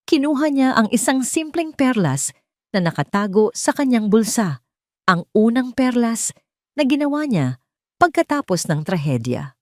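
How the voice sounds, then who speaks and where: A woman's voice: a little high, sing-song, a little slow, no echo, studio quality. A woman with a Filipino accent narrating a scripted, dramatic audio drama story.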